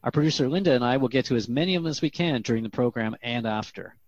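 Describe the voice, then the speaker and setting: A man's voice: mid-range voice, quick pace, darkish tone, no echo, highs cut off, natural voice. A man playing an earnest, inquisitive radio host in a scripted talk-show drama, speaking conversationally. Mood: welcoming. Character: earnest, curious male radio host questioning a spirit guest.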